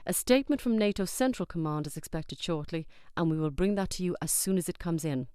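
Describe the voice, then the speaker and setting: A woman's voice: fairly low pitch, brisk, mellow, clear, resonant tone, no room sound, clean studio sound, close to an everyday voice. A woman playing a serious news anchor, reading breaking news in a formal, composed way for a drama. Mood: composed, urgent.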